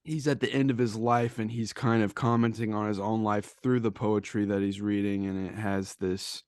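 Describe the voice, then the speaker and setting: A man's voice: on the low side, flat delivery, moderate pace, a little mellow, smooth, dry recording, clean studio sound. A man co-hosting a podcast in loose, casual, anecdotal chat. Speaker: podcast co-host.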